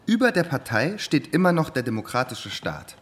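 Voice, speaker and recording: A man's voice: on the high side, very animated, normal speed. An actor playing an argumentative man in a radio drama, explaining in short, pointed statements.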